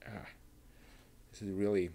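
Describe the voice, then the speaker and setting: A man's voice: lowish voice, level tone, gravelly, warm tone, a little hiss or hum. A man hosting a livestream, chatting casually and thinking aloud while he assembles a model kit. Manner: unhurried, thinking aloud, muttering to himself.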